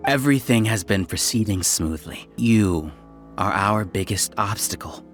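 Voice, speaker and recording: A scholarly villain in a video game, grudge-bearing and arrogant. He lectures with condescending menace in a lively, swooping delivery, with music behind him. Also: on the low side, unhurried. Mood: bitter scheming. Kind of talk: English video game voice acting.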